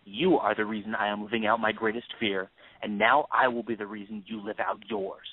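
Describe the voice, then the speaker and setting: A man acting in an audio play as a bitter grieving father turned cold avenger, accusing and sarcastic in a deliberate, commanding way. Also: lowish voice, expressive, vocal fry, slightly rough, full character voice. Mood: bitter, anguished. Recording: tinny phone-like band.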